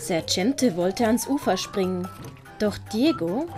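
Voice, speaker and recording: A woman's voice: medium pitch, lively intonation, takes it slowly, over music. A woman reading scripted narration for an audiobook as its storyteller.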